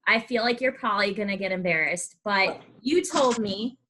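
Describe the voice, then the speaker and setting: A woman's voice: mid-range voice, normal speed, sharp tone, a little hiss or hum. A young woman hosting a podcast in a casual interview style. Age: young adult. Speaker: podcast host.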